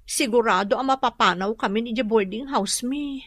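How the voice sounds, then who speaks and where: A woman's voice: a little high, normal speed, a bit bright, no room sound. A woman playing a worried, apologetic mother in a radio drama, pleading with a Filipino accent. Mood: troubled. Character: worried, pleading mother behind on boarding house rent.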